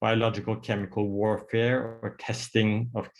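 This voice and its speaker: A man with a Norwegian accent presents a workshop over a video call, his voice sitting a little low. He talks in a hesitant way, full of fillers and restarts. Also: flat delivery, moderate pace, poor audio. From Norway. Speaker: psychiatrist giving a workshop talk.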